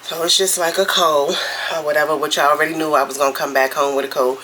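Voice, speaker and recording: Casting: congested woman vlogger, bright forceful tone, rambling kitchen chat, faint noise behind. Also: low-pitched, fairly even intonation. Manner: chatty, rambling, drawn-out storytelling. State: congested with a cold. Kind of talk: casual morning vlog chat. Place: home kitchen, cooking.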